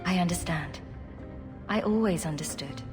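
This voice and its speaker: An actress playing a steady female warrior hero, calm and gently teasing. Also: lowish voice, normal speed, natural voice. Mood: tender. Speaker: player hero. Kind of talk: video game voice acting. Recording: long reverb under game music.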